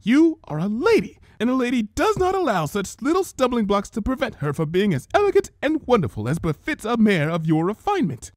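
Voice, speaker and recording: A man's voice: high voice, wildly swooping pitch, fairly quick, hardly any pauses, no echo, clean studio sound, voice pushed up. A man voicing a melodramatic, ladylike female unicorn in a fan fiction reading, theatrical, refined and emphatic. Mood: composing herself.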